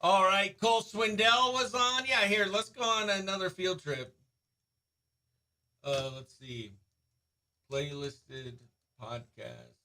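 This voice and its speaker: A man hosting a radio show, chatting in an informal, excitable, slangy way. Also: high voice, takes it slowly, pauses often. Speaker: radio host. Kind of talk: casual behind-the-scenes chat and upbeat radio interview.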